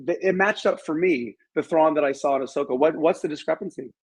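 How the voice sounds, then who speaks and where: A man's voice: on the high side, fast talker, breathy edge. A man talking as an interview guest, giving his opinions in an analytical, emphatic conversational way.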